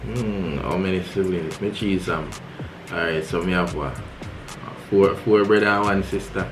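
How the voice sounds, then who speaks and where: A young Jamaican man with a very low, deep voice, easygoing and quick to laugh. He drops patois phrases into casual vlog chat at home. A little music plays faintly underneath. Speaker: vlog co-host. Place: home bedroom.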